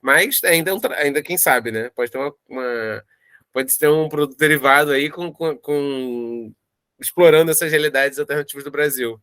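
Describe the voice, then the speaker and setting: A man's voice: on the high side, normal speed, fairly bright tone, clear, resonant tone, no room sound, clean studio sound. A man with a Brazilian Portuguese accent talking casually in an interview about creative work, rambling and repeating words as he thinks aloud. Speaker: audio drama creator discussing his project.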